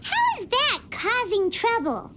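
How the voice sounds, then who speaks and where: A woman voicing a small, childlike, excitable fairy sidekick in an anime-style video game, given to exclamations. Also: very high voice, takes it slowly, heavy background noise, heavily acted. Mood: curious, surprised. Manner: speaks of self in third person, exclamatory. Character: small childlike floating fairy companion, excitable, nosy, sentimental. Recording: loud music under the voice.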